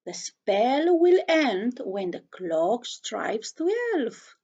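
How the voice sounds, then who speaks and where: A woman reading the part of a kindly, commanding fairy godmother in a storybook, instructing deliberately. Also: a little high, very animated, mellow, lo-fi sound, full character voice. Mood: warning.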